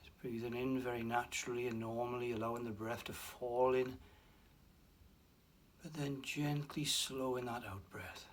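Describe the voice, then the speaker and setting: A man guiding a relaxation meditation, speaking slowly and softly with long pauses and a breathy hush at times. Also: mid-range voice, fairly even intonation. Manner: slow, soft and soothing, with long pauses. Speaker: meditation guide. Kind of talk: guided meditation.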